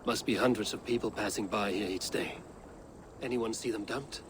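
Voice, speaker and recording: A man playing a grim, resolute Viking warrior in a video game cutscene, speaking in measured, terse, formal phrases. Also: on the low side, steady tone, long reverb tail, background noise, close to an everyday voice. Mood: focused, questioning. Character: grim, resolute male Viking warrior hunting a secret order.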